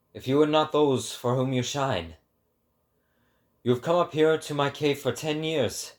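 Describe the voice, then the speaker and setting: A man's voice: mid-range voice, pitched above the performer's own voice, natural voice. A man voicing an exalted prophet in a dramatic book reading, declaiming in a grand and fervent manner.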